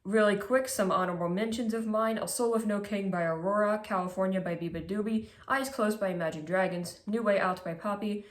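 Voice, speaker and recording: Woman, congested, casual list commentary, mid pitch, roomy echo. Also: fairly quick, few pauses. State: congested, stuffy nose. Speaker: music ranking video host. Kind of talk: scripted list commentary read aloud. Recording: echoey room.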